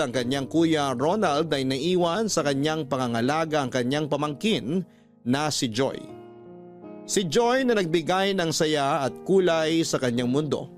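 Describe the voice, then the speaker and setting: A man with a Filipino accent reading scripted, sentimental stories as a radio host in a measured way. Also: fairly high pitch. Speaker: radio storytelling host reading listener letters. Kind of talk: scripted dramatic narration. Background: music bed.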